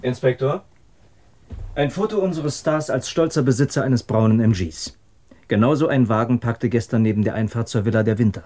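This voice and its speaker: A man's voice: mid-range voice, normal speed, darkish tone, no echo, natural voice. An actor playing a keen, methodical male police detective reporting to his superior in a German radio crime drama. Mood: alert.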